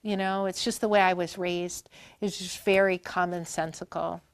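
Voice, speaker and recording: A woman's voice: lowish voice, steady tone, moderate pace, dry recording. A woman in her fifties from Maine, telling family stories in a chatty, rambling way with many fillers and restarts during an interview at a library talk. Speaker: performer and guest. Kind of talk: conversational interview storytelling.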